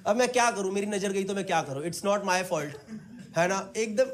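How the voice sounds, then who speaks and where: A man's voice: high voice, moderate pace, a bit bright. A man in his thirties doing teasing, conversational stand-up crowd work on a handheld mic in a small club. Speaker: stand-up comedian. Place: small indoor comedy club on stage. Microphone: handheld microphone. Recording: very noisy live club recording. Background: room ambience, audience laughter.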